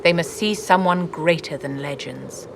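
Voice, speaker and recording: An actress voicing an elegant, imperious court lady in a fantasy game, measured, haughty and calculating. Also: low-pitched. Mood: grave, instructive. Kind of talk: dramatic video game acting. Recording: clearly noisy ambient background.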